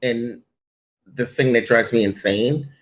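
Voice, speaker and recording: A man's voice: mid-range voice, fairly even intonation, slow pace. A man, a voiceover audition director, answering interview questions in a conversational way with frequent ums and restarts.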